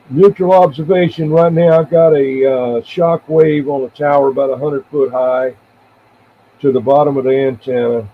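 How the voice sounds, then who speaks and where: An American man in his seventies chatting casually on CB radio in a halting, rambling, reminiscing way. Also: medium pitch, steady tone. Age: 70s. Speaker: CB radio operator and live streamer. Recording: tinny band-limited radio audio with faint noise.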